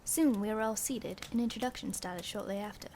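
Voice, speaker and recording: Woman acting young lady reporter, mid pitch, wry mystery narration, deliberate, light noise behind. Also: a little mellow, lightly acted. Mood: wry, brooding. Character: young female journalist narrator, wry and suspicious. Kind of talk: scripted first-person mystery narration. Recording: faintly noisy home recording.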